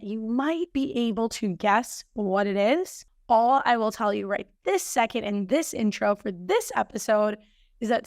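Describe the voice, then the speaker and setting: A woman hosting a fitness podcast, slightly hoarse, chatting upbeat about coming news. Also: on the high side, no echo, studio quality. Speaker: podcast host and fitness coach. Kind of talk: casual solo podcast intro.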